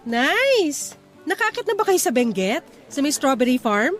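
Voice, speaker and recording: A cheerful, sociable office worker reunited with an old sweetheart. She has a high voice and a Filipino accent, played radio-drama style over soft music. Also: sing-song, measured pace. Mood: chatty, relaxed.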